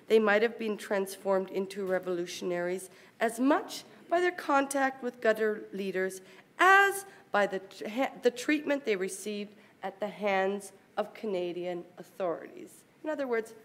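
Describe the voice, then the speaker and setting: A woman academic presenter reads a formal conference paper aloud in a measured, scholarly way, with brief explanatory asides. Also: mid-range voice, lively intonation.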